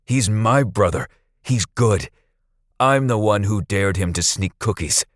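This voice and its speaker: A man voicing a frightened but brave, protective child in a storybook tale, pleading loudly and urgently. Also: fairly low pitch, a little slow, no echo, studio quality, voice pushed up. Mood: desperate courage.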